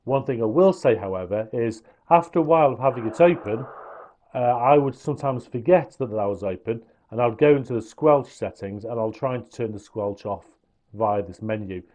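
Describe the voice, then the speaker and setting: A man's voice: mid-range voice, moderate pace, mellow, slight background noise. A man explaining how a gadget works step by step, in an informal hands-on review style. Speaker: product reviewer.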